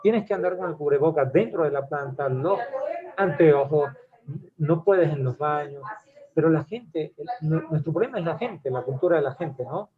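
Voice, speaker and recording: A man's voice: on the high side, lively intonation, moderate pace. A man from Venezuela with a Venezuelan accent, adding a hesitant comment during a webinar Q&A. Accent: Venezuelan Spanish. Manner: hesitant, many fillers and restarts. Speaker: webinar attendee asking a question. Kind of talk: casual comment in a Q&A. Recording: tinny phone-like call audio.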